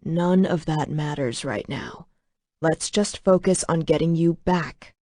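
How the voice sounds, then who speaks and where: A woman's voice: lowish voice, moderate pace, no echo, clean studio sound, natural voice. A woman voicing a commanding, protective male dragon. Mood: urgent. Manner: curt, clipped orders.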